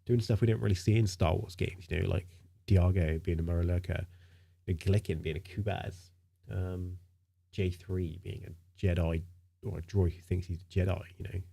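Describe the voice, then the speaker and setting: A man's voice: deep voice, steady tone, moderate pace, creaky, mellow, husky. A man streaming live in casual chat, sounding nasal from a stinking cold, rambling with lots of fillers and repeated words.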